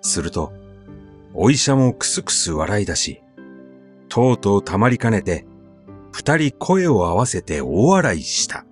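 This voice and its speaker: A man narrating a literary story in a measured, conversational, expressive way, as if telling it to someone. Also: lowish voice, faint music behind.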